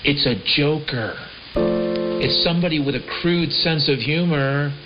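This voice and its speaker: Man rambling theatrically over loud music, lo-fi radio. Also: a little high, a little slow. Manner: theatrical mock-prophetic storytelling. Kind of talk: rambling improvised comic monologue. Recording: dull lo-fi shortwave radio recording. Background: prominent piano and keyboard music.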